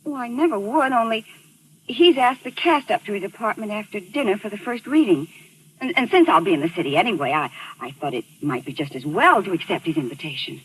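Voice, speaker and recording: An actress playing an evasive, outwardly sweet wife with a hesitant, placating manner in a 1940s radio mystery. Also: female voice, medium pitch, sing-song, fairly quick, breathy edge. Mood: flustered, evasive. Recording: noisy old radio recording.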